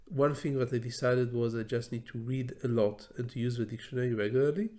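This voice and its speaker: A middle-aged man, a native Hebrew speaker from Israel, giving a slow, thoughtful solo talk on learning English, with frequent pauses and fillers. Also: medium pitch, fairly even intonation, warm tone. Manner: thoughtful, many fillers and restarts, slow deliberate phrasing. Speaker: law professor teaching English learning tips. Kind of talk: solo educational talk to camera.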